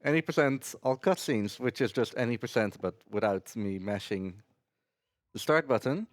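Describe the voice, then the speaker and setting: A man's voice: medium pitch, expressive, normal speed, no echo. A man giving casual live commentary as he speedruns a video game.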